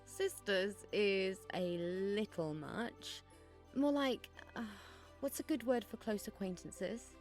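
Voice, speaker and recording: A woman's voice: mid-range voice, takes it slowly, faint music behind. A woman playing a sardonic, theatrical teenage schoolgirl in an audio drama. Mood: wry, sardonic. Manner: dry, sarcastic, theatrical. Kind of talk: scripted audio drama dialogue.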